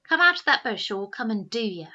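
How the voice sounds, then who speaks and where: A scruffy boy, the bossy leader of his gang, acted by a woman reading a storybook, calls out in a high, loud, bright voice. He is quarrelsome and taunting, with rough colloquial phrasing. The sound is slightly dull and lo-fi. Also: very animated, quick pace, heavily acted. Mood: taunting, menacing.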